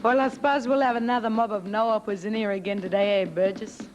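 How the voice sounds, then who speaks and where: A woman's voice: medium pitch, fairly even intonation, fairly quick, over music, lightly acted. A woman playing a brash, sardonic job office clerk in a satirical radio play, blunt and slangy. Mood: weary scorn.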